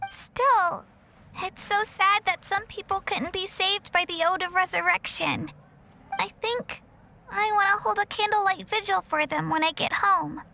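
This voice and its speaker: A woman voicing a small, perky, childlike fairy companion in a video game, eager and chatty with a squeaky voice. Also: a little slow, creaky, bright, forceful tone, slight background noise, full character voice. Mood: earnest, sad. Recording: music behind the voice.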